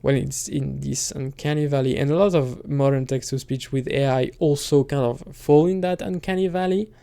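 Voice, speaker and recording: Guy with a creaky mellow voice just rambling solo, kinda halting, thinking out loud. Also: mid-range voice, fairly even intonation. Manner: hesitant, halting, thinking aloud. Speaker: streamer and musician talking on own channel. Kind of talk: casual rambling solo talk.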